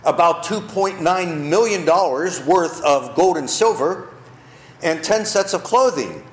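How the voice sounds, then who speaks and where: A man's voice: fairly high pitch, lively intonation, measured pace, reverberant. A male pastor preaching a sermon, telling the story with rhetorical questions, in a church.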